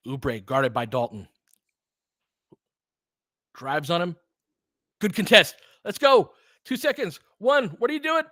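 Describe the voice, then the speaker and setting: A man's voice: sits high, takes it slowly, pauses often, no echo. Man calling basketball, informal and partisan, dry close sound. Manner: partisan, informal, excitable on big plays. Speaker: host doing fan play-by-play. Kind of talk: live basketball commentary and analysis.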